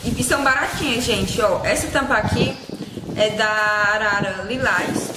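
A woman's voice: mid-range voice, measured pace. A woman, casually presenting products to camera as a vlogger and seller. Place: small indoor room with shelves. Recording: echoey room with loud background noise.

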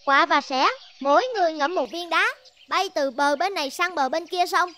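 A woman voicing a feisty, chatty small female bird in a children's cartoon, sassy and expressive. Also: very high-pitched, moderate pace, slight background noise. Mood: announcing.

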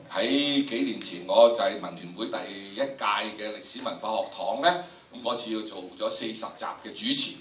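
A man's voice: lowish voice, fairly bright tone, slight background noise. An older man in his sixties with a Hong Kong Cantonese accent, lecturing to students in a theatrical, emphatic way with rhetorical questions. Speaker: lecturer, drama educator. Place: large indoor lecture theatre. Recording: echoey lecture hall, distant camera microphone.

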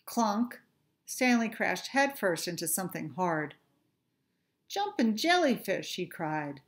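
Slow-paced middle-aged woman reading a storybook. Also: fairly low pitch. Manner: expressive, deliberate phrasing for young children. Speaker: teacher reading aloud to first graders. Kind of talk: children's storybook read-aloud. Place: small furnished room at home.

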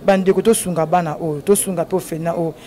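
A woman's voice: lowish voice, steady tone, quick pace, warm tone. A middle-aged woman, giving an earnest, preaching speech into a handheld microphone in an orphanage courtyard. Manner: earnest, preaching tone, tearful at times. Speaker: NGO president visiting an orphanage. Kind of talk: impromptu speech to a crowd.

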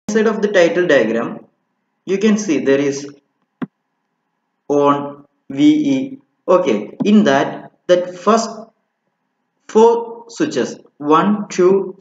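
A man's voice: high-pitched, a little hiss or hum. A man giving an informal technical tutorial as an instructor, explaining step by step and often checking in with "okay". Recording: muffled, roomy, echoey home recording.

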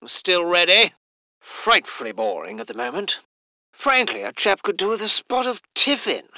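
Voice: mid-range voice, very animated, moderate pace, gravelly, pushed and bright, no echo, full character voice. An actor voicing a posh English military aviator in a video game, with clipped, old-fashioned understatement and a stiff upper lip. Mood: businesslike, then bored. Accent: upper-class English. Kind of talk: video game unit voice lines.

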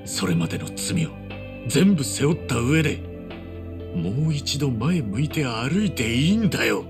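Gravelly, loyal street-tough man. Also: medium pitch, sing-song, measured pace, music in the background. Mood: heartfelt, urging. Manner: rough colloquial speech, earnest and heartfelt. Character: loyal, earnest street-tough man urging his friend to atone. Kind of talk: dramatic game cutscene acting.

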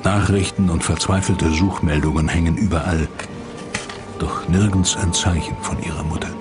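A man's voice: sits low, fairly even intonation, moderate pace, music in the background. A man narrating a dramatic documentary from a script.

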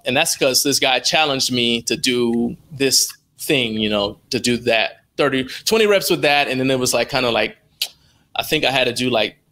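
A man's voice: medium pitch, bright, forceful tone. A middle-aged American man telling anecdotes casually as an interview guest on a video call, acting out bits of dialogue as he goes. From born in Liberia, moved to the United States as a child. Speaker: interview guest, strength athlete and live streamer. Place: indoor room, video call.

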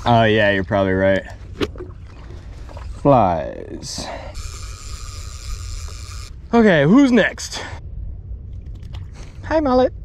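Excitable chatty man fishing from a boat. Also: mid-range voice, drawn-out words, long pauses, a little hiss or hum. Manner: excitable, chatty, self-deprecating. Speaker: fly fishing vlogger. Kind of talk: casual on-camera fishing narration. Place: small open boat on the water outdoors. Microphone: action camera on the boat.

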